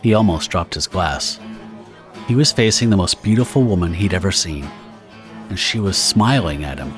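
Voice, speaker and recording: A man's voice: sits low, animated, normal speed. A man narrating a pulp horror audio drama from a script. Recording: guitar music behind the narration. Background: plucked guitar music.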